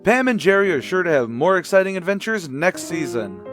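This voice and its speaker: A man's voice: sits high, very animated, brisk, fairly bright tone, soft background music. A man reading a scripted outro announcement as a podcast announcer.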